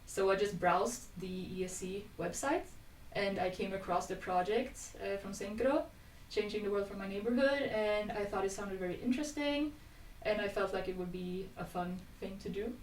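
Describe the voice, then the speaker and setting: A woman's voice: medium pitch, normal speed. A young woman talks in a casual, conversational way. She sounds distant from the mic in a very echoey room, with some faint noise. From Sweden. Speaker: podcast guest, youth volunteer.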